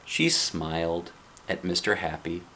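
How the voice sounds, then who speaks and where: A man reading a picture book aloud as a dad would, playful and expressive, with casual asides to a child. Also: deep voice, unhurried.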